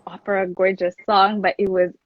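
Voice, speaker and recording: A woman's voice: mid-range voice, level tone, moderate pace, dry recording. A young woman from Kenya, a native Luo speaker, chatting casually and enthusiastically as an interview guest. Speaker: guest, classical singer and voice teacher. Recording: dull lo-fi close dry sound.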